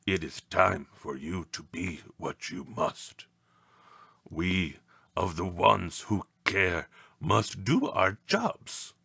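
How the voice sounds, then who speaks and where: Man performing an ancient otherworldly mentor, storybook character, solemn, portentous, drawn-out delivery, deep voice, close dry sound. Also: very animated, creaky, a bit bright, breathy edge, no room sound, heavily acted. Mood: solemn, mysterious. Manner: slow, portentous, inverted archaic phrasing. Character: ancient, towering alien mentor, solemn and urgent.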